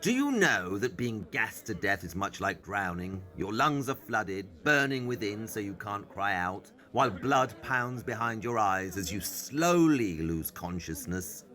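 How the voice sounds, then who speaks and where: Shrewd, intense gentleman detective, lowish and sharp, dry and deliberate, for a radio play. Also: big pitch swings, faint music behind, full character voice. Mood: grim, intense. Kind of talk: audio drama acting.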